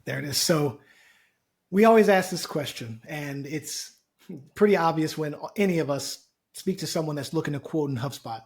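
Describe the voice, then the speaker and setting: A man's voice: on the high side, normal speed. A man hosting a casual webinar, upbeat, loose and jokey, speaking over a video call. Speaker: webinar host, co-founder.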